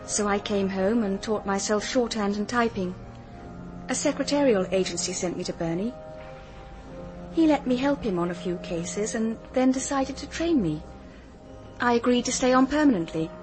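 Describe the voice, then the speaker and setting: An actress playing a young English private detective in a radio drama, speaking politely and earnestly. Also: female voice, a little high, normal speed, music in the background, natural voice, rough recording. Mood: quiet, reflective. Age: young adult. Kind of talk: British radio drama acting.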